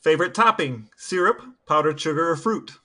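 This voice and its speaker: A man's voice: a little high, lively intonation, brisk, sharp tone. A man co-hosting a podcast in a casual, conversational style. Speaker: podcast co-host. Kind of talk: casual chat.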